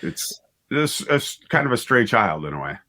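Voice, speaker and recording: A man being interviewed as a writer and director, explaining things casually and haltingly, often rephrasing himself as he goes. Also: on the low side, big pitch swings, sharp tone. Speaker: interview guest, writer and director of audio dramas.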